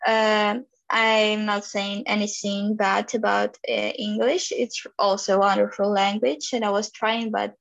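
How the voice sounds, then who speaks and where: A woman's voice: medium pitch, level tone, unhurried, creaky, fairly bright tone. A young woman from Ukraine, speaking English with a Ukrainian accent, answering interview questions hesitantly with many fillers and restarts. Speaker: interview guest. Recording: dull lo-fi recording.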